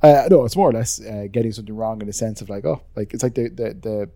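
A man's voice: fairly low pitch, fast talker, vocal fry, warm tone, no room sound, clean studio sound. A man with an Irish accent, co-hosting a podcast in casual, rambling chat that is full of swearing and self-deprecating asides. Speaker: podcast co-host.

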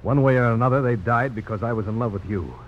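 An actor playing a weary, resolute frontier adventurer in a grave, measured 1950s radio drama style. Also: male voice, medium pitch, lightly acted. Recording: muffled, noisy old radio broadcast recording.

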